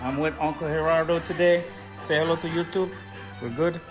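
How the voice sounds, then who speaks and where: A man's voice: fairly high pitch, fairly even intonation, moderate pace, echoey room, over music. A man with roots in Trinidad and Venezuela, chatting casually to his viewers while cooking in a home kitchen. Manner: chatty, addresses viewers directly. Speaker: vlogger.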